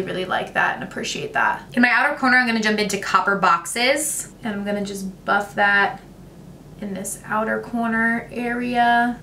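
A young woman, talking through a makeup tutorial in a chatty, gushing way, her voice a little raspy today. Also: a little high, bright, forceful tone, roomy sound, distant mic, a little hiss or hum. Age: young adult. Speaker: beauty vlogger. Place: bright home room with makeup shelves. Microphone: camera at close framing.

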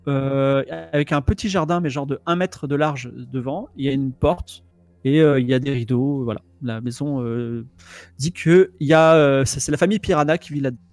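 A man's voice: medium pitch, moderate pace, a little mellow, no room sound. A man, narrating as game master, hesitant and full of fillers, at a studio mic at home. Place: home room with bookshelves. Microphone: headphones and studio microphone.

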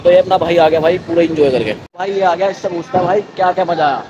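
Young male vlogger, high-pitched and excitable; muffled, noisy outdoor audio. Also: quick pace. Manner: excited, chatty, keeps saying bhai. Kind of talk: casual vlog commentary. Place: outdoor mall walkway at night.

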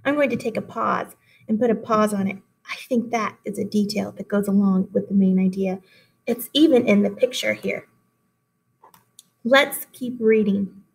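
A woman in her thirties, a teacher giving an expressive, instructive read-aloud lesson for children. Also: mid-range voice, normal speed, vocal fry, poor audio. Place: small classroom indoors.

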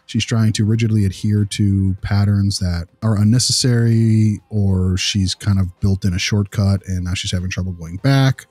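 A man's voice: fairly low pitch, flat delivery, normal speed, hardly any pauses, a little mellow, breathy edge, dry recording. A man in an improvised role-play as a consulting analyst, talking casually and asking probing questions.

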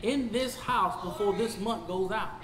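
A man in his fifties with a Southern US accent teaching a Bible study as pastor. He swings from shouting to low talk, recorded in a church sanctuary on a lapel mic. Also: unhurried, reverberant, big-room sound, heavy background noise. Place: church sanctuary, seated before a projection screen.

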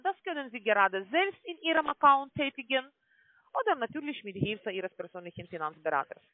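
A woman with a non-native German accent gives an explanatory phone sales pitch as a call-centre agent, often adding tag questions to confirm. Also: no room sound. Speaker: call-centre agent selling online trading. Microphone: telephone call. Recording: tinny, band-limited phone line.

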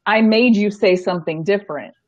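A woman hosting a casual chat on a streamed show, frank and animated, over a video call. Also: mid-range voice, brisk. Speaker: show host. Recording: dull lo-fi stream audio.

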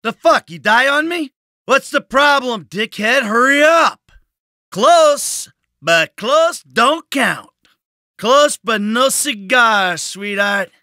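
An actor playing a weary, sardonic, hot-tempered middle-aged American criminal in a video game, grumbling and foul-mouthed. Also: male voice, very high-pitched, lively intonation, slow pace, bright, forceful tone, dry recording, clean studio sound, exaggerated voice. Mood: needling. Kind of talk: acted video game voice lines.

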